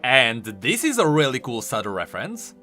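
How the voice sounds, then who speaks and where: Guy doing game lore commentary, bright forceful voice, super animated and emphatic, bit of music behind. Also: medium pitch, moderate pace. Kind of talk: explanatory commentary voice-over. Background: faint music.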